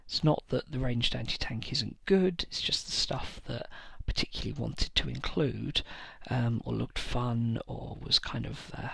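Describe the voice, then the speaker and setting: A man talks casually to his viewers about building army lists, pausing often to gather his thoughts. His voice has a gravelly fry, yet a bright, forceful edge. The recording is close and dry, with no room sound around him. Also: medium pitch, no room sound. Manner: hesitant, many fillers and pauses. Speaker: tabletop wargaming channel host. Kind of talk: casual list-building talk to camera.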